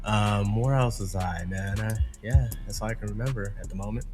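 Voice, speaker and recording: A man's voice: on the low side, a little fast, darkish tone, soft background music. A man working as a DJ, chatting casually in a laid-back, slangy, swearing style. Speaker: DJ on a podcast. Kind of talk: casual chat.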